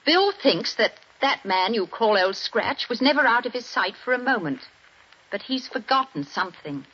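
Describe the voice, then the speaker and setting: An actress playing a frightened young wife in a 1940s radio drama, pleading and breathless. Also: female voice, on the high side, expressive, normal speed, sharp tone, breathy edge, full character voice. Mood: anxious. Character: frightened young wife telling detectives what she saw. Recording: dull 1940s radio broadcast.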